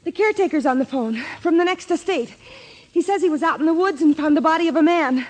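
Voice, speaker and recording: Actress as high-voiced, earnest detective's gal assistant. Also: female voice, fast, lightly acted. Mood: urgent. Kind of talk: 1940s radio drama acting.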